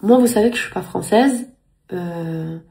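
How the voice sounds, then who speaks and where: Earnest Spanish-speaking woman, gravelly and mellow. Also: on the low side, measured pace. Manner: earnest, repeats words while searching for phrasing. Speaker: vlogger. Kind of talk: casual talk to camera.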